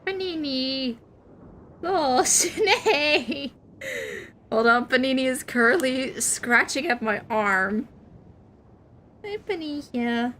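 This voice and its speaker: A Dutch woman gives casual, expressive commentary on a game stream. Her voice is very high and swings freely up and down, at an unhurried pace. She sounds playful throughout. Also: a little hiss or hum. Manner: playful, expressive, reacting aloud. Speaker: VTuber streamer playing a video game. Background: soft game music.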